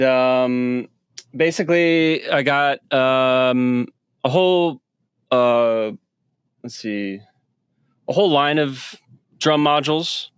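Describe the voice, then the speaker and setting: A man with a smooth, resonant voice and a dry, close sound, speaking in a halting, casual way. He fills his answers with ums and restarts as he explains his gear. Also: mid-range voice, no echo. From United States. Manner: halting, many fillers and restarts. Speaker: guest musician. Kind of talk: casual interview talk. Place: home studio with synth rack.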